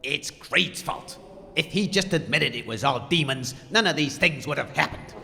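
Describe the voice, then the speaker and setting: Man playing a gravelly, earnest demon hunter. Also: a little high, expressive, normal speed, sharp tone, heavily acted. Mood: accusing. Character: earnest, insistent male demon-obsessed ghost hunter. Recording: echoey hall reverb.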